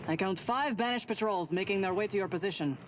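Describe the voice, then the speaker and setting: A brash, fearless female Spartan soldier, voiced by a woman in a game audio log. She sounds cocky and blunt, with a medium pitch. Loud battle noise runs underneath. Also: quick pace, natural voice.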